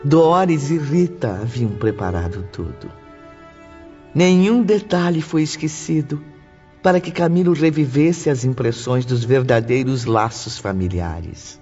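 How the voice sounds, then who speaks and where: A male narrator reads an audiobook at a slow, solemn pace. His mid-pitched voice sounds a little dull, with faint music underneath. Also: expressive, a little mellow. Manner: solemn, deliberate.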